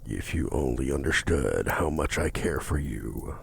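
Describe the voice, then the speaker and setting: Man voicing a very deep, gravelly seductive demon. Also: expressive, a little hiss or hum, heavily acted. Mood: coaxing, possessive. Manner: slow, deliberate, formal, domineering. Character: seductive possessive male demon contract-broker, menacing, smug. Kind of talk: intimate ASMR roleplay acting.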